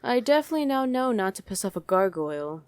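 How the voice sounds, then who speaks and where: A woman's voice: medium pitch, very animated, quick pace, clean tone, no room sound, lightly acted. A woman voicing a condescending, sardonic female demon commander in a chatty ASMR roleplay. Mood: amused, curious. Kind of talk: ASMR roleplay acting addressed to the listener.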